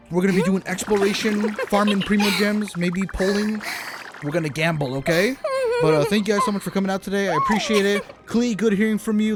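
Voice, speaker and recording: A man streaming a game live, chatting casually and enthusiastically, rambling and repeating his phrases. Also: high voice. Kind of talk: casual livestream chat and reaction. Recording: stream with game music behind. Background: game music.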